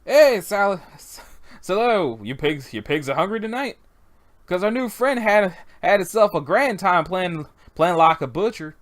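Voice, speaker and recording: Amateur performer as grinning backwoods rogue, folksy, jokey, lively pitch swings. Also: moderate pace, few pauses, lightly acted. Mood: gleeful.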